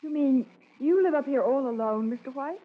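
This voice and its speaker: An actress playing a curious, polite detective's assistant in old-time radio drama, with an inquisitive manner. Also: female voice, high-pitched, animated, normal speed. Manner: inquisitive, impressed interjections. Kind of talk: 1940s radio drama acting. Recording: muffled, noisy old radio recording.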